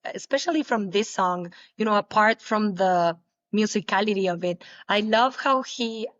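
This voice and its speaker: A young woman whose native language is Spanish, answering interview questions casually, with many halting restarts. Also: mid-range voice, fairly bright tone, no room sound, highs cut off. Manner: halting, repeats words, laughs often. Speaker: guest, pole dancer.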